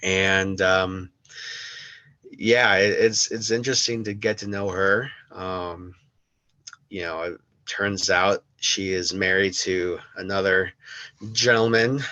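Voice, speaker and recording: A young man from the United States, a podcast guest talking slowly and hesitantly, with many pauses and restarts. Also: deep voice, sharp tone, dry recording, highs cut off. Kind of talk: casual interview.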